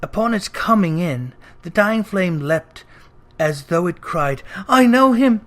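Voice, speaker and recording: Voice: mid-range voice, expressive, measured pace. A narrator reading a classic tale aloud as dramatic storybook narration. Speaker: narrator, reader.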